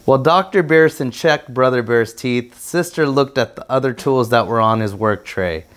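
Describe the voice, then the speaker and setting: A man's voice: mid-range voice, normal speed, a bit bright. A man narrating a children's storybook aloud as a guest reader.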